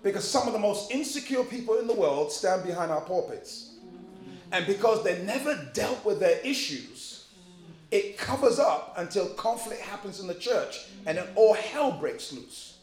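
Liberian-born pastor, high-pitched, emphatic and humorous sermon delivery, roomy hall sound. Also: male voice, sing-song, normal speed. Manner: emphatic preaching with humor and repetition.

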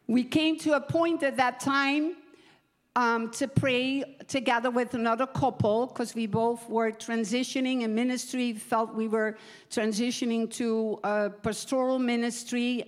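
Casting: female preacher, 70s, Dutch accent, slightly high voice, very unhurried, earnest testimony delivery. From Netherlands. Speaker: guest preacher giving a testimony. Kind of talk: sermon testimony to a church gathering.

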